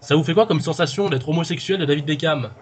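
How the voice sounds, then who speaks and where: A flustered celebrity manager, acted by a man, talking very fast in a slightly high voice. He sounds cheeky and mock indignant on a prank call, with faint noise behind him. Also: close to an everyday voice. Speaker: prank caller. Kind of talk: improvised prank phone call.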